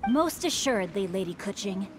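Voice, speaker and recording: A woman as a courtly boyish young gentleman. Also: mid-range voice, expressive, normal speed, over music, close to an everyday voice. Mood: courteous. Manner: polished, courtly, bookish. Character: refined young gentleman, chivalrous, self-assured merchant heir. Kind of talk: video game cutscene acting.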